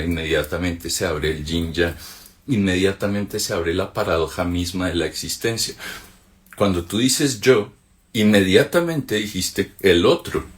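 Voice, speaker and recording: A Colombian man with a very low voice walks through a spiritual text in an easy conversational way. He keeps checking in with short rhetorical questions as he explains. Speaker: spiritual teacher. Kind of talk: reflective spoken commentary on a text.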